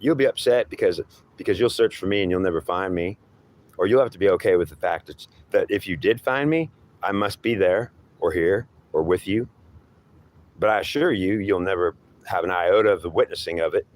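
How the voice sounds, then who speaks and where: A man's voice: low voice, fairly quick, close to an everyday voice. A man acts out a horned devil who passes himself off as God. He taunts and threatens in a scornful lecture, circling back to goad again and again in a homemade dramatic dialogue. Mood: goading, bleak. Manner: scornful, goading, repetitive lecturing.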